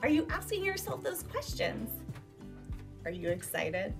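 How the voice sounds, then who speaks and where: A woman's voice: high voice, unhurried. A woman teacher giving an emphatic, instructional talk to young children, asking them questions as she goes. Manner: emphatic, animated teacher delivery with rhetorical questions. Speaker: elementary school teacher. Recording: distant microphone, music behind.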